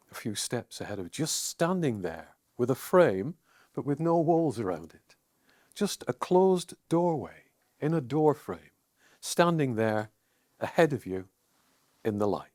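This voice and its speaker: A man leading a guided meditation, speaking in a measured, soothing way with deliberate pauses between phrases. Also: medium pitch, a little mellow, no room sound, clean studio sound.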